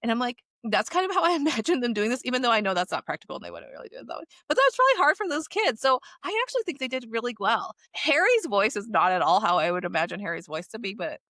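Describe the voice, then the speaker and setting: A woman co-hosting a podcast, chatting casually in an animated, chatty way. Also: a little high, fast, few pauses, no echo, clean studio sound. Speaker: podcast co-host.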